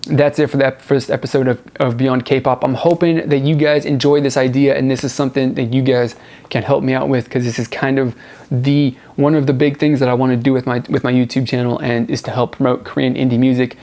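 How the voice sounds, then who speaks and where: A man's voice: mid-range voice, flat delivery, fairly quick, a little mellow. A man hosting a music review vlog, talking casually and enthusiastically in a rambling, informal way, often repeating words for emphasis. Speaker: host of a music review show.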